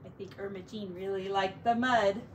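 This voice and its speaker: A woman teacher doing an expressive read-aloud and sing-song play for young children, drawing out her words. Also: mid-range voice, a little hiss or hum. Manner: expressive, drawn-out words, hushed asides.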